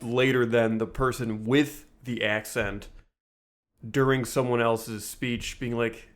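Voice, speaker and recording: A man's voice: medium pitch, steady tone, slow. A man co-hosting a comedy podcast in casual chat, riffing in a dry, mock-serious way. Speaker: podcast co-host.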